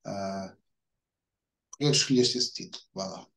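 A middle-aged man with a European Portuguese accent, hosting an informal interview and rephrasing his questions haltingly as he goes. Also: lowish voice, gravelly, fairly bright tone. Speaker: host interviewer. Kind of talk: informal video-call interview. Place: indoor room, video call. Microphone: computer mic on a video call.

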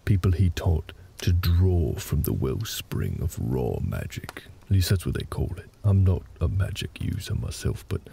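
A man playing a gentle, big orc husband in a comfort roleplay audio, telling a story in a hesitant, reassuring, gravelly way. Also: very animated, full character voice. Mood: calm storytelling. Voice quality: very deep, gravelly. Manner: slow, hesitant, reassuring, conversational.

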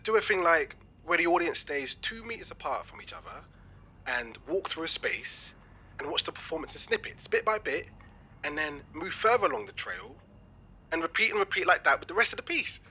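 A man's voice: a little high, expressive, a little fast, pushed and bright, breathy edge, exaggerated voice. An actor playing an enthusiastic young British man full of schemes, chatty and eager, in a naturalistic audio drama. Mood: eager, upbeat. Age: young adult. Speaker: actor in a fiction podcast.

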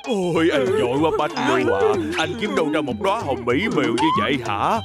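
An excitable middle-aged cartoon scientist, talking fast over loud music. He is fussy and effusive. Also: a little high. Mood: flirtatious.

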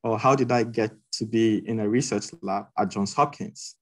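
A man's voice: on the low side, moderate pace. A young man from Ghana, a PhD student telling his story with enthusiasm over a video call.

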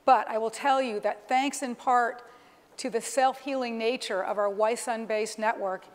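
A middle-aged woman presents a live keynote demonstration, explaining as she goes. Her voice is on the high side and rings with the long reverb of a large hall. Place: large conference stage, indoors. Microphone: clip-on lapel microphone. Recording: reverberant hall sound through PA.

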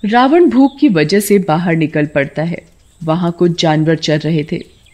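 A woman's voice: lowish voice, measured pace, darkish tone. A woman narrating a scripted story for a cartoon.

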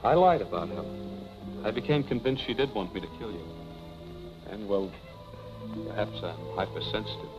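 Guy playing smooth young american husband in an old 40s film, earnest, kinda low, dull muffled soundtrack. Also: expressive, normal speed, long pauses, music in the background, natural voice. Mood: earnest, glib. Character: smooth, apologetic young husband pleading with his wife. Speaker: film actor. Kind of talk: 1940s Hollywood film acting.

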